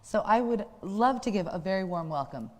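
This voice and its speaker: A woman's voice: medium pitch, expressive, brisk, a little mellow. A woman with an American accent hosting a live stage show, speaking in a formal, courteous way. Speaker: host. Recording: hall reverb.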